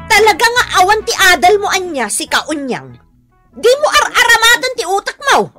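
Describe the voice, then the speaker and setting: A haughty Ilocano neighbor in a radio drama, cruel and scolding. Her voice is very high and piercingly bright, swinging freely in pitch as she berates. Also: huge pitch swings, moderate pace, faint music behind, heavily acted. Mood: scornful, angry. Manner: berating, insulting.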